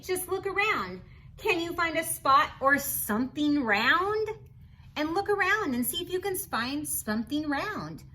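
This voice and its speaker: A woman's voice: high-pitched, sharp tone, low-quality recording. A woman reading a picture book aloud to young children, adding chatty asides in an encouraging, instructional manner. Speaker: children's read-aloud host.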